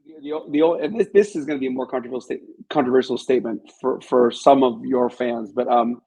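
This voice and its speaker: A man's voice: mid-range voice, brisk, warm tone, slightly rough, low-quality recording. A man talking as an interview guest, giving his opinions in an analytical, emphatic conversational way.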